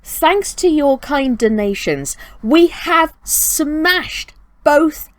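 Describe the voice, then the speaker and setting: High-voiced woman, chatty channel host. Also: lively intonation, very slow, no room sound. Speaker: host of a children's storytime channel. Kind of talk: chatty scripted update to camera.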